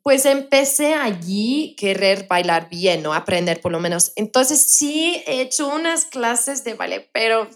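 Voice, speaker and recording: A woman's voice: a little high, lively intonation, unhurried, hardly any pauses. Woman, english accent, learned spanish, casual podcast guest, restarts and searches for words. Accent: English speaker of Spanish learned in Colombia.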